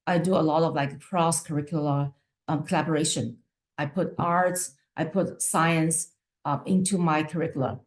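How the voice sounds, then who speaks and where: A woman with a somewhat low voice presents to fellow teachers over a video call. English is not her first language, and she talks in a loose, conversational way with plenty of fillers. She keeps checking in with her listeners as she goes. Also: steady tone, normal speed. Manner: conversational, frequent "right?" and fillers. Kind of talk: webinar presentation.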